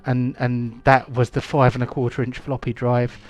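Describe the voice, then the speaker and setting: A man's voice: mid-range voice, flat delivery, normal speed, no room sound. A man, hosting and running a live-play tabletop game in a chatty, rambling way full of ums and restarts. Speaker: game master.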